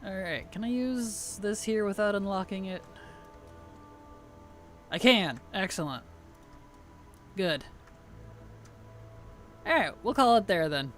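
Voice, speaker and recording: A woman game streamer chatting casually and playfully on a livestream, her tired voice starting to weaken and turn gravelly. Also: mid-range voice, lively intonation, slow, long pauses, soft background music. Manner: playful, teasing, chuckles often. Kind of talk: casual livestream chat over gameplay.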